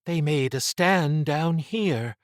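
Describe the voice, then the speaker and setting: Male narrator as shrewd woman spy, raised pitch, wary, sardonic, brisk questioning, audiobook dialogue, dry close sound. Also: expressive, dry recording. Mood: soft, grim.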